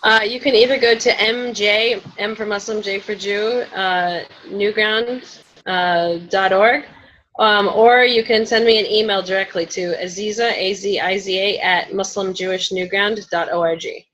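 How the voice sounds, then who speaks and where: A woman's voice: mid-range voice, fairly even intonation, unhurried, fairly bright tone, slight background noise. A woman speaking informally as a panelist in an online discussion, conversational, with many ums. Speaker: panelist, community organization leader. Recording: slightly dull online call audio.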